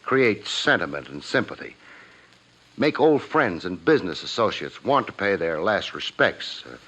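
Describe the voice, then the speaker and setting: A man's voice: deep voice, sing-song, normal speed, fairly bright tone, breathy edge, full character voice. A man playing a wry, hard-boiled private investigator in a 1950s radio drama, with a clipped tough-guy delivery. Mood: measured. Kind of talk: 1950s radio detective drama acting and narration. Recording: muffled 1950s radio recording with music.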